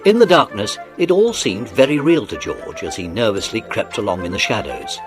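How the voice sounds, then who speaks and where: Animated low-pitched man telling a children's story. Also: normal speed. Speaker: narrator. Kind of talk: dramatised children's audiobook storytelling. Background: music.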